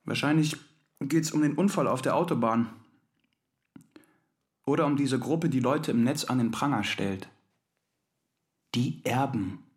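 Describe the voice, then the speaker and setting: A woman playing a slouchy, nosy young flatmate in a radio drama, casual and needling. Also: a bass voice, measured pace, pauses often. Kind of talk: German radio drama acting.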